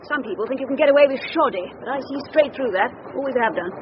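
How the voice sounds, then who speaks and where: A woman's voice: high voice, lively intonation, quick pace. An actress playing an imperious, opinionated older British lady in a radio drama. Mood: bossy. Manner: imperious, emphatic, disdainful. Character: bossy, snobbish, opinionated older lady on an outing. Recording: dull radio recording, clear background noise.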